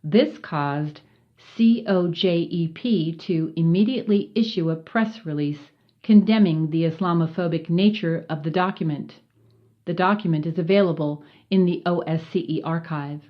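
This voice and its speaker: Low-voiced woman reading formally. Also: lo-fi sound. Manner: formal, measured reading with acronyms spelled out letter by letter. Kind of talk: scripted narration read from a report.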